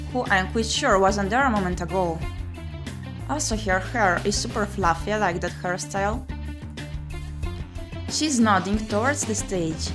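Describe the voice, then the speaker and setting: A woman streamer reading a visual novel aloud in first person and musing over the gameplay. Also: mid-range voice. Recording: music under the voice. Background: game music.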